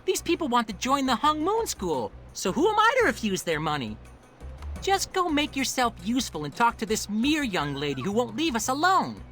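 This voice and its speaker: Brash, high, wildly animated schemer. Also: female voice, normal speed, faint music behind. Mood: irritated. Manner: flippant, exasperated, bratty. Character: brash, money-minded woman running a martial arts school. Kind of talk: video game character acting.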